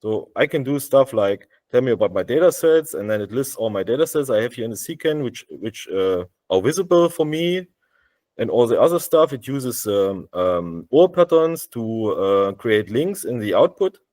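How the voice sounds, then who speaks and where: German-accented man giving a halting demo. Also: mid-range voice, animated, darkish tone, no room sound. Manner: hesitant, many uh fillers and restarts. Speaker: software developer presenting. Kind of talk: live screen-share demo on a video call. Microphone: video call.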